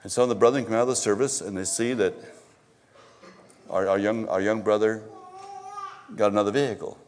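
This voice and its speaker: A man preaching a sermon at a church service, swinging from emphatic exhortation to low, stammered asides. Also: fairly even intonation, a little fast, a little mellow, a little hiss or hum. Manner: rises to emphatic exhortation, then drops low, with stammered repetitions.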